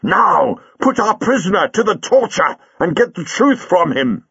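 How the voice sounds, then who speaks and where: A man's voice: high voice, big pitch swings, a little slow, a bit bright, breathy edge, no echo, muffled recording, pitched above the performer's own voice, full character voice. A man doing a fierce young warrior woman.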